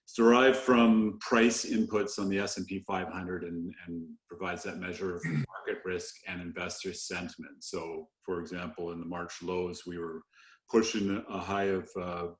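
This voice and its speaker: A man's voice: low-pitched, steady tone, moderate pace. A man explaining markets as a portfolio manager on a conference call. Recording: roomy, echoing conference call.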